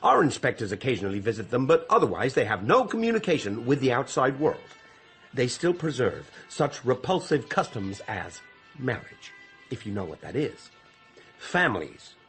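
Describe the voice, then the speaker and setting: An actor playing an officious, long-winded, condescending warden in a radio drama, with a pompous reciting manner. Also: male voice, mid-range voice, big pitch swings, normal speed, a bit bright, soft background music. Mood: grimly relishing. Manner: pompous, droning official recital with throat-clearing.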